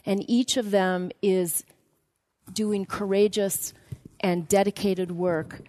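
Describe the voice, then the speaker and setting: A woman giving a formal spoken introduction as host, speaking deliberately in short phrases. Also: medium pitch, flat delivery, dark tone, no room sound. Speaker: host introducing youth delegates.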